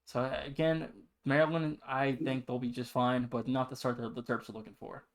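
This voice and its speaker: A man's voice: medium pitch, flat delivery, normal speed. A man with a mid-range voice speaks as a sports podcast host. He runs through results and past seasons as analysis. His delivery is emphatic and opinionated.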